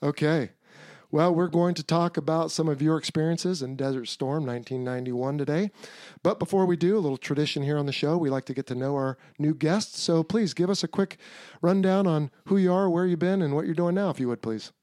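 A middle-aged man hosting a podcast in an easygoing, conversational way, often trailing off quietly at the ends of sentences. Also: fairly high pitch, a little fast, no echo, clean studio sound. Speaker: podcast host.

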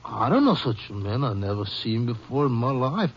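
A man's voice: mid-range voice, big pitch swings, normal speed, slightly rough. An actor playing a shifty, defensive Mexican man in a 1950s radio western, speaking hesitant broken English with a Mexican accent. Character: shifty, sleepy Mexican man protesting innocence nervously. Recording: muffled, lightly noisy 1950s radio recording.

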